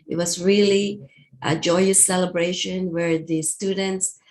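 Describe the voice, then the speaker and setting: A woman's voice: fairly low pitch, steady tone, a little slow, darkish tone. A woman school board trustee sharing good news in a meeting, friendly and slightly hesitant, with small restarts. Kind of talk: giving a report in a meeting.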